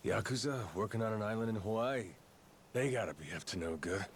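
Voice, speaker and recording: Game role: wry amnesiac gangster drifter, folksy and rough-talking, animated swings in pitch. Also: male voice, fairly quick, slight background noise. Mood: curious, wry. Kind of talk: English video game voice acting.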